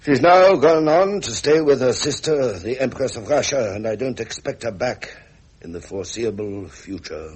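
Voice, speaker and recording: Husky, imperious English prince. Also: male voice, medium pitch, animated, normal speed, a little mellow, rough recording. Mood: resigned. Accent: upper-class English. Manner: formal, imperious. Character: frustrated, proud Prince of Wales, nearly fifty. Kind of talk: period British broadcast drama acting.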